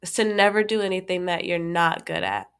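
A woman's voice: fairly low pitch, a little fast, smooth. Stuffy-voiced woman chatting animatedly. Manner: animated, laughs often, restarts phrases. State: stuffy from a cold. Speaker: podcast co-host. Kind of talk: casual chat.

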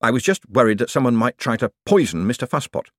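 A man voicing a polite, slightly uneasy male boss in an audiobook, probing and quick to apologise. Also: medium pitch, very animated, no echo, clean studio sound. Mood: apologetic. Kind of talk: audiobook character dialogue.

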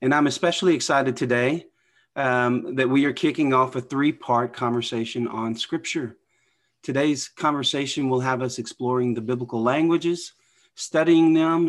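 A man's voice: mid-range voice, moderate pace. A man hosting an interview podcast, upbeat and affirming, introducing guests and asking questions. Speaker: podcast host.

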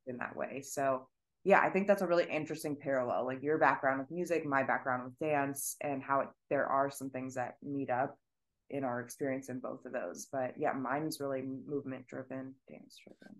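A woman's voice: extremely low pitch, steady tone, moderate pace. A woman dancer in a podcast conversation who speaks earnestly and searches for her words.

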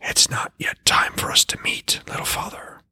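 A man voicing a taunting, cryptic spirit that speaks from a well in an audiobook, in an eerie hushed whisper. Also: moderate pace, no room sound. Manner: mocking, cryptic, patient. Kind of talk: audiobook character voice.

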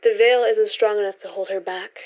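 A woman's voice: medium pitch, steady tone, fairly quick, darkish tone, highs cut off, lightly acted. A woman playing a reverent, mystical member of a witches' coven, telling stories in a radio drama.

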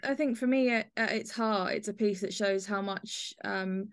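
A woman speaks as a podcast host. Her pitch sits in the middle of the range for women. She talks in a conversational reviewing style, as if discussing a work with a guest. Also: moderate pace. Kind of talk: conversational review of an audio play.

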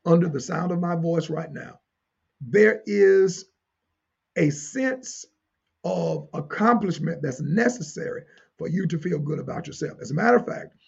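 A man delivers a teaching sermon in a high-set voice that is markedly hoarse-edged and full of gravelly creak. He is deliberate and emphatic, circling back to key phrases and putting questions to his listeners. The recording sounds somewhat dull. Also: animated. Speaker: preacher.

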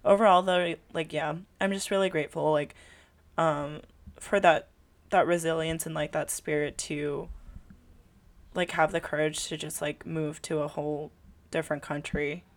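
A woman's voice: deep voice, flat delivery, normal speed. A young woman from California, a podcast co-host, chatting casually in long rambling answers full of "like" and laughs. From California, USA. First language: Tagalog.

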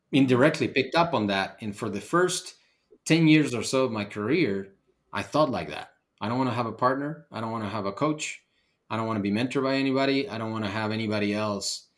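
A man's voice: medium pitch, fairly bright tone. A middle-aged man from Ecuador, a native Spanish speaker, telling his personal story as a podcast guest. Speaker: podcast guest, real estate investor.

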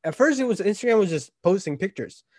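Young male podcast host, 20, loose filler-heavy rambling, higher-pitched, dry and close-miked. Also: big pitch swings, quick pace, darkish tone, no room sound. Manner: rambling, filler-heavy, keeps asking "right?". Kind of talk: casual podcast chat.

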